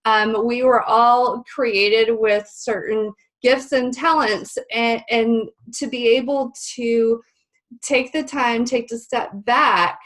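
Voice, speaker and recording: Slow-talking woman with a stuffy nose. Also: on the high side, fairly even intonation, fairly bright tone. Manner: friendly, chatty, many ums. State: stuffy, a little nasal. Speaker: webinar host. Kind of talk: live webinar presentation. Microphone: video call.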